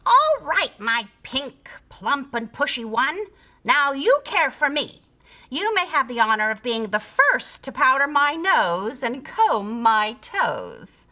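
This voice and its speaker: Storybook creature, small and female, bossy and cackling, played by a woman; high, sharp, swooping sing-song. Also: very animated, slow pace, exaggerated voice. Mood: bossy, gloating.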